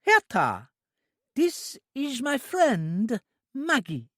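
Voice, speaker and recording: A high, childlike, pleading woman. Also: huge pitch swings, fairly bright tone, no room sound, studio quality, heavily acted. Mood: eager pleading. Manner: slow, high, sing-song pleading. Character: childlike, eager, clinging young woman.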